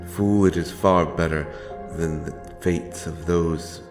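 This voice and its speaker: Man playing stern dark fantasy monster hunter, low-pitched, unhurried, solemn, archaic, menacing, audio drama. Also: steady tone. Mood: grim warning. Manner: archaic, solemn, threatening speech. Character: grim, stern male monster hunter, menacing but honourable. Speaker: audio roleplay performer. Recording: music behind the voice.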